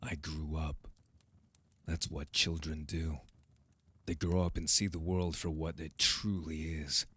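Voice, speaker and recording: A man's voice: rumbling low, little pitch movement, slow pace, a bit bright, slightly rough, no echo, heavily acted. A man playing a proud, wounded young vampire hardened into bitterness, with intense, accusing delivery in an audio drama. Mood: cold, defensive. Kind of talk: dramatic audio roleplay acting.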